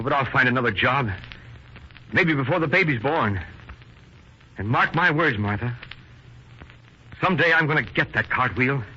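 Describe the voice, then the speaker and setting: An actor playing an earnest young working husband, wistful and stubborn, in 1930s radio style. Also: male voice, mid-range voice, bright, forceful tone. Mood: determined. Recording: dull, hissy 1930s radio recording.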